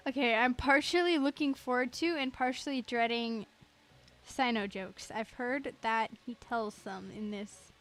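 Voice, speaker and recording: A woman's voice: fairly high pitch, a little slow, soft background music. A woman giving casual, giggly, reactive commentary as she plays a game. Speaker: game streamer.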